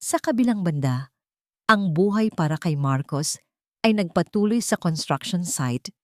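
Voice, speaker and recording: A woman with a Filipino accent narrates a romantic drama. Her voice sits on the low side and moves through wide, lively swings of intonation. The sound is dry and close, with no room around it. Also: unhurried, no room sound. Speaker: story narrator.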